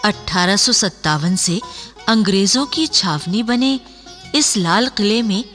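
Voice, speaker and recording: A female storyteller in a historical radio drama, building suspense. Her delivery is slow and theatrical, with wide pitch swings over music. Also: lively intonation, fairly bright tone. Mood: dramatic, suspenseful. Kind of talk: dramatic scripted radio narration. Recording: radio play with music underneath.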